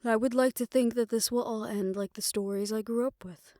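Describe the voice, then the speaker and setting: A woman playing a tender, world-weary lover in a fantasy audio roleplay, speaking in a measured, reflective and intimate way. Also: a little high, steady tone, dry recording, clean studio sound, natural voice. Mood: grave, reflective. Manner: measured, reflective, formal phrasing, soft hushed moments. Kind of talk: romantic audio roleplay, intimate scripted monologue.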